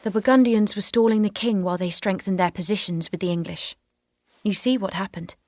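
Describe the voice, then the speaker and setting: A woman's voice: medium pitch, steady tone, brisk, darkish tone, lightly acted. A young woman playing a determined, devout eighteen-year-old warrior maiden in an audio drama, speaking earnestly, firmly and bluntly. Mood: frustrated, testifying. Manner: earnest, firm, outspoken. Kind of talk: historical audio drama acting.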